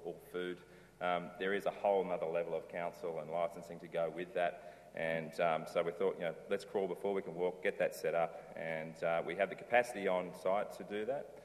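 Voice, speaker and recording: A man's voice: sits low, darkish tone. A middle-aged man giving a casual conference talk from a lectern, rambling loosely with many ums and restarts. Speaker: conference speaker, brewery owner. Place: large dark auditorium stage. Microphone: lectern mic, filmed from far in the hall. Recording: reverberant hall, distant camera.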